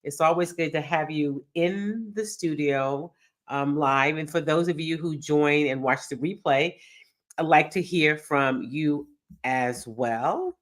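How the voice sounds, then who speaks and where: A woman's voice: low-pitched, slow pace. A middle-aged woman from Texas hosting a live sports talk show, her voice nasal from a cold, upbeat and chatting directly with viewers. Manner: upbeat, addresses viewers directly, reads chat aloud. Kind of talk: live-streamed casual show chat.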